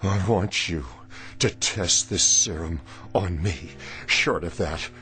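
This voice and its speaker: A man's voice: low voice, slow pace, pushed and bright, faint music behind, full character voice. An actor playing a proud, desperate, lovesick older man in a radio drama, speaking formally and earnestly with theatrical weight. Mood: despairing, pleading.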